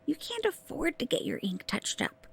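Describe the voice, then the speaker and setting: An actress playing a smart, sassy, teasing tech pixie sidekick in an audio drama. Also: female voice, high voice, wildly swooping pitch, moderate pace, creaky, heavily acted. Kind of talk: scripted audio drama acting.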